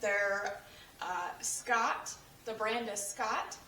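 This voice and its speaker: A young woman with a hoarse, fading voice, chatting through a cleaning demonstration with lots of fillers and restarts. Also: medium pitch, extremely slow, bright, forceful tone, slight background noise. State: losing her voice. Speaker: cleaning tips creator. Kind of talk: casual how-to demonstration. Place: home kitchen. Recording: echoey room, voice from a distance.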